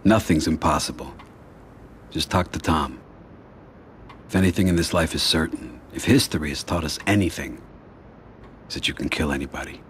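Game mafia boss, very low bass voice, deliberate, authoritative, slight background noise. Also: male voice. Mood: cold, resolute. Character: calm, commanding male mafia don. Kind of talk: scripted video game cutscene acting.

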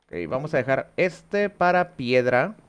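A man's voice: medium pitch, sing-song, a little slow, smooth. A young man with a Mexican accent chatting casually with viewers while playing, thinking aloud and swearing lightly, on a headset at home. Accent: Mexican Spanish. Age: young adult. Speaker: streamer. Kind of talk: casual gameplay chat with viewers. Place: small room at home.

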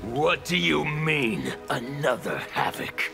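A snarling, chaos-loving anarchist warrior with an extremely gravelly, fried voice. His pitch sits a little high and swings widely. Clear game music runs under him. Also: big pitch swings, slow, heavily acted. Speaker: fighting game character. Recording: game audio with music behind.

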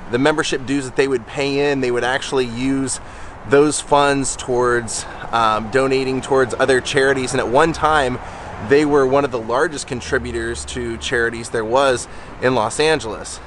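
A man's voice: mid-range voice, fairly bright tone. Chatty male vlogger outdoors with traffic noise. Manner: chatty, storytelling to camera. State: starting to lose his voice. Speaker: travel vlogger. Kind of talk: casual vlog narration. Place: outdoors on a sunny street. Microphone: handheld camera at arm's length.

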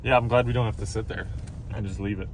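A man's voice: on the low side, steady tone, fast, recorded from a distance. An American man in his thirties chatting casually in plain, laid-back phrases inside a truck, away from the camera. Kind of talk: casual chat. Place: inside a moving pickup truck. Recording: off-mic, loud vehicle noise.

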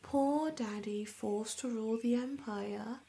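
A woman's voice: a little high, vocal fry. A woman playing a cruel, sneering bully in an audio roleplay, mocking and drawing out taunts. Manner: mocking, drawn-out, sometimes hushed.

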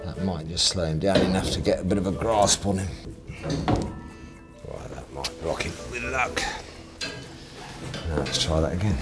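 Deep-voiced rescuer, unhurried, soft-spoken with animals; loud music bed. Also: male voice. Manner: calm, coaxing, talks softly to the animal. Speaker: wildlife rescuer. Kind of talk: on-the-job commentary. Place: indoor stairwell of a restaurant.

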